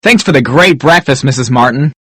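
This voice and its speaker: A man's voice: medium pitch, animated, a little fast, a bit bright, slightly rough, no echo, studio quality. A man voicing a polite, slightly nervous teenage boy in a read-aloud story.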